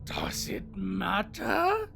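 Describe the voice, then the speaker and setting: An actor voicing a bitter, mocking, world-weary immortal spirit in a theatrical audio drama monologue, with a breathy, creaky delivery that drifts into whispers. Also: medium pitch, exaggerated voice. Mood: resentful. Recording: music under the voice. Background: music.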